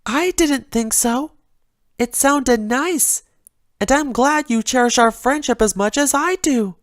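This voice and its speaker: A woman voicing a gentle, shy young pony character in a story reading, soft and sweet. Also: high-pitched, slow pace, no room sound, clean studio sound, pitched above the performer's own voice. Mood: curious, tender. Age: young adult. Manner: sweet, timid.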